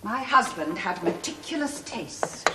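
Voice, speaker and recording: A woman's voice: mid-range voice, big pitch swings, a little slow. Posh english lady, snobby and fretful, voice swoops about, very echoey with slight hiss. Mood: haughty, fretful. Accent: upper-class English. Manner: imperious, clipped, declamatory. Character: haughty, imperious aristocratic English widow, fretful and snobbish. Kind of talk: theatrical television play dialogue. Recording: echoey stone hall, faint noise.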